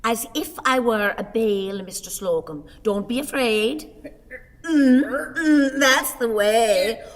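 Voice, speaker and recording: A woman's voice: a little high, takes it slowly. A woman playing a stout, cantankerous, self-pitying old woman in a radio play, with theatrical, exclamatory wailing and lamenting. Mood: fretful, straining. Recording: reverberant hall, audience noise.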